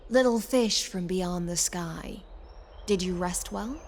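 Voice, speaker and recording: An actress playing a composed, proud knight commander in a game, speaking in a formal, measured, courtly way. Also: female voice, lowish voice, a little hiss or hum. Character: composed proud female knight commander, loyal imperial servant. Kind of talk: scripted game dialogue.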